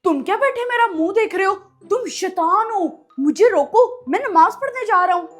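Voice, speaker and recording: A mischievous schoolboy son, acted by a woman in a family comedy skit. The voice is extremely high, cheeky and bossy, with faint music behind it. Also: lively intonation, normal speed. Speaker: actor in a comic skit. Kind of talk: comic family skit acting.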